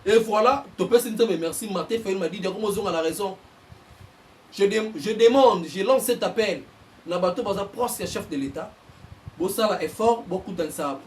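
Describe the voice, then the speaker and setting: A Congolese man, a journalist and political analyst, giving impassioned commentary and getting louder as he presses his points. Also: high-pitched, normal speed, distant mic, slight background noise. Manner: heated, mixes French and Lingala, bursts louder on points.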